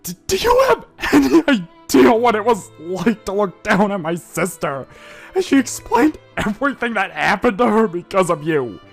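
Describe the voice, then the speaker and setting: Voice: mid-range voice, big pitch swings, normal speed, vocal fry, voice pushed up. An amateur reader voicing a protective big-brother stallion in a dramatic fan fiction reading, emphatic, wounded and menacing, with music behind. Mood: tearful anguish.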